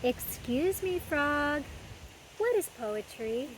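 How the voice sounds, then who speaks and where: A curious little boy with a raised, eager voice asks his questions politely, as a woman reads him out. He is a storybook child, bright-eyed and full of wonder. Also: sits high, expressive, slow pace, a little hiss or hum, voice pushed up, poor audio.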